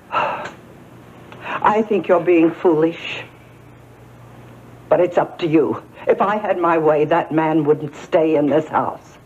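A woman's voice: low voice, steady tone, slow, long pauses, a little mellow, slightly rough, exaggerated voice. An older actress playing a stern, disapproving woman in a soap opera, admonishing and insistent. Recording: muffled 1980s TV tape, music behind.

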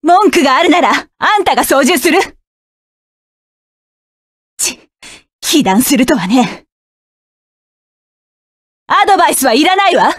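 A woman's voice: high-pitched, expressive, measured pace, pauses often, no room sound, studio quality. A woman voicing a proud, fierce young woman mech pilot who barks curt, commanding and scornful lines. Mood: tense, wary. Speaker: voice actress. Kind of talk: game voice clip collection.

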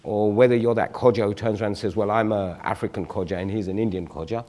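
A man's voice: fairly low pitch, fairly even intonation, quick pace, warm tone, long reverb tail. A man in his forties, a guest on a studio panel discussion, explaining his view reflectively with examples. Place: TV studio stage.

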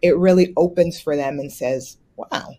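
A woman teacher whose first language was not English, speaking in a measured way with frequent pauses on a video call from home. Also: low voice, dark tone. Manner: measured, many um fillers. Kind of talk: casual panel answer. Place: home room indoors. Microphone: webcam on video call.

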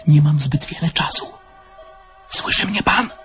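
A man's voice: on the high side, unhurried, a bit bright, faint music behind. An actor playing a careful, hesitant, nervous man in a radio play. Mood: hushed urgency. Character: hesitant, evasive, nervous man tiptoeing around bad news. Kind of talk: theatrical radio play acting.

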